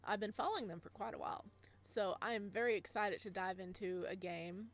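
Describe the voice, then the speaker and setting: A woman giving casual let's play commentary as a gaming host, with excitable, gushing reactions. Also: mid-range voice, brisk.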